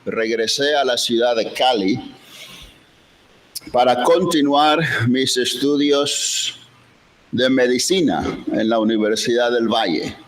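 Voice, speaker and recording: An older man gives a slow, unhurried chapel sermon and takes his time over his own life story. He grew up speaking English as his first language on a small Colombian island. His voice sits at an everyday middle pitch. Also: poor audio. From Colombian island of Providencia. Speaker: visiting pastor. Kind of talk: chapel sermon with personal testimony.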